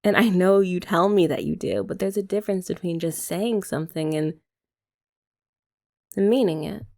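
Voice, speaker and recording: A woman's voice: lowish voice, normal speed, vocal fry, dark tone. A young woman voicing a playful, affectionate girlfriend in an ASMR roleplay, chatting casually with giggles and filler words. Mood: tender.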